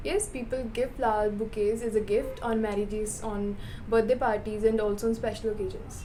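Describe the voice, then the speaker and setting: A woman's voice: mid-range voice, little pitch movement, a little fast, dark tone. A young woman from northern India, a native Punjabi speaker with an Indian accent, answering speaking exam questions with hesitant fillers and a few grammar slips. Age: young adult. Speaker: exam candidate. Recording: noisy background ambience.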